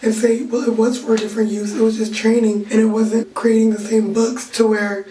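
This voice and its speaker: A young woman with a naturally raspy voice that has gone hoarse, talking casually as she explains legal points in plain terms. Her pitch sits a little high and stays fairly flat. She sounds set back from the mic in a roomy, echoing space. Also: fairly quick. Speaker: legal intern vlogger. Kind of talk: casual vlog recap. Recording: roomy echo, distant from the mic.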